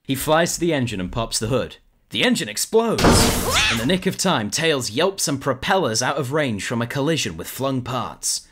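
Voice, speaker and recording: A woman narrating an audio drama in a lively storytelling manner. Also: extremely low pitch, normal speed, a little hiss or hum. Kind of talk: scripted narration. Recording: narration over loud music.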